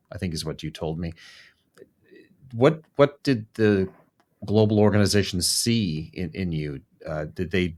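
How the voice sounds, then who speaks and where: Deep-voiced man hosting an interview. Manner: friendly, repeats words as he frames questions. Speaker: podcast host. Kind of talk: interview questions.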